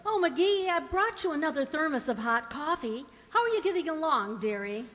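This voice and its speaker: Casting: actress as solicitous, wry radio-comedy wife, high animated voice, reverberant hall sound. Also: female voice, moderate pace. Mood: cheerful. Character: cheerful, patient, teasing wife. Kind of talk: old-time radio comedy acting.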